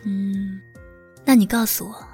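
Actress as composed indulgent woman, audio drama romance; gentle, coaxing, quietly teasing, mid-pitched. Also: flat delivery, slow, soft background music, natural voice. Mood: coaxing, fond. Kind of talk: modern audio drama acting.

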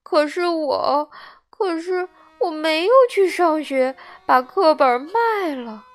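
A woman's voice: high voice, takes it slowly, faint music behind, pitched above the performer's own voice. A woman voicing a naive, earnest little wooden puppet boy in a children's storybook, stammering and pleading. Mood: tearful confession.

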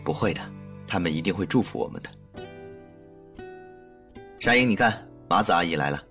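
A man playing a gentle, intimate young husband with an obsessive streak in an audio drama. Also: low voice, animated, moderate pace, faint music behind. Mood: reassuring. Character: soft-spoken, obsessive young husband fixated on dolls. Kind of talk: Mandarin audio drama acting.

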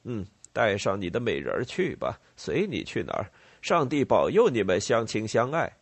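A man's voice: lowish voice, sing-song, normal speed, husky, dry recording, exaggerated voice. A man voicing a bluff, commanding rebel leader who poses as emperor in a story, with a swaggering, emphatic delivery. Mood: bluff, relenting.

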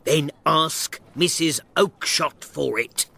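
A man voicing an angry, gruff, bullying shopkeeper in an acted storybook reading, shouting dismissively. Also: a little high, slow, voice pushed up, heavily acted. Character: tall, angry, bullying male poultry shopkeeper.

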